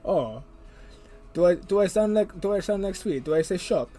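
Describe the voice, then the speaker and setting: A man's voice: high-pitched, animated, normal speed, warm tone. A man hosting a casual livestream, reading the chat aloud in a joking way and laughing often. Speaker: stream host.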